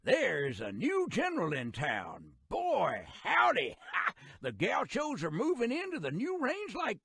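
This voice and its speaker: An actor voicing a folksy, excitable old-timer railroad guide in a video game, with a rural American accent, a creaky voice and a colloquial, theatrical manner. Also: male voice, high voice, slow, no echo, exaggerated voice. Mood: gleeful.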